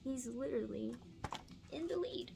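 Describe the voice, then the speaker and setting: A woman teaching through a picture-book read-aloud, moving between expressive storybook reading and casual explanatory asides. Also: high voice, moderate pace, creaky, a little hiss or hum.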